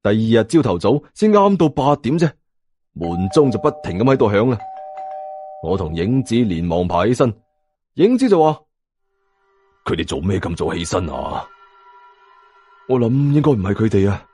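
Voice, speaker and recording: A male audiobook narrator with a Hong Kong Cantonese accent tells a suspense story in a dramatic way. His mid-pitched voice swings in a lively manner from phrase to phrase. Music plays softly behind him. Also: fairly quick, slightly rough. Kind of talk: dramatic scripted first-person story narration.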